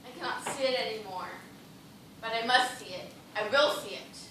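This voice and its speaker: A woman's voice: fairly high pitch, moderate pace, fairly bright tone, lightly acted. An actress playing a distraught, feverish female dancer castaway in an amateur stage play, with impassioned theatrical delivery. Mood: desperate insistence. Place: theatre stage, recorded from audience. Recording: very echoey stage, distant, noisy.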